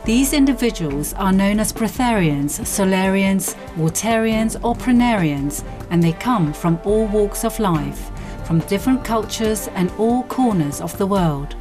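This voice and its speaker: A woman giving gentle, devotional scripted narration. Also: mid-range voice, animated, measured pace. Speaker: program narrator. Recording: music bed under voice.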